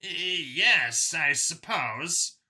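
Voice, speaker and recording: Man as a bright, high-voiced mission handler. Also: animated, drawn-out words, heavily acted. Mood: puzzled. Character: brisk official mission handler, easily flustered.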